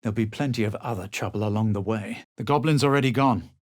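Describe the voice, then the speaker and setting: A man playing a self-assured, haughty adventurer in a fantasy video game, speaking in a measured, theatrical, superior way. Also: on the low side, no echo, clean studio sound, natural voice. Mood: self-assured, dry. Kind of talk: fantasy game dialogue and narration.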